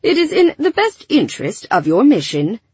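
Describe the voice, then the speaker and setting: A woman's voice: fairly high pitch, wildly swooping pitch, normal speed, darkish tone, husky, dry recording, muffled recording, pitched above the performer's own voice, exaggerated voice. Woman voicing a stiff, formal male robot. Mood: earnest, formal. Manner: stiff, precise, wordy. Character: literal-minded, formal, oblivious male robot.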